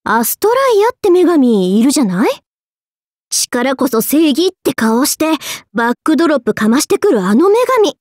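A woman's voice: high-pitched, sing-song, normal speed, a little mellow, dry recording, clean studio sound. A woman voicing a proud, feisty young goddess in an anime game, in a sassy, theatrical way. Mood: miffed, intrigued. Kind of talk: scripted anime game voice lines.

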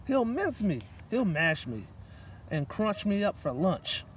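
A man voicing a frightened, tiny mother mouse, pleading in a squeaky voice for a storybook. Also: sing-song, takes it slowly, full character voice. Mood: panicked, pleading. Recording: distant microphone, light outdoor noise.

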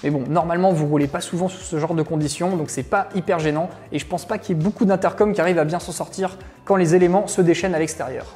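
A man's voice: a little high, fairly even intonation, fast, hardly any pauses, darkish tone, faint music behind. A man, giving a casual, explanatory product review in a conversational way. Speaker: motorcycle gear reviewer. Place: small indoor room at a desk.

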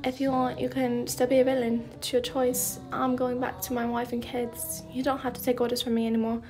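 A woman's voice: a little high, monotone, brisk, over music, lightly acted. A woman voicing a weary, resigned male villain boss in an amateur story reading.

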